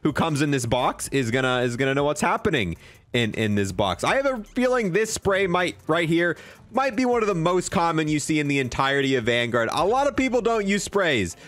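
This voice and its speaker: A young man giving casual, slangy, excitable commentary as he reviews game content. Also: a little high, a little fast. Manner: excitable, slangy bro-and-dude talk. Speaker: gaming video creator.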